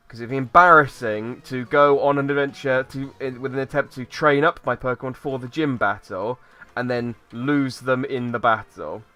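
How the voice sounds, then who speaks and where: A man with a slightly hoarse voice from a cold, rambling and thinking aloud as he plays through a game and talks to viewers. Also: mid-range voice, sharp tone. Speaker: let's play host. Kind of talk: casual gameplay commentary.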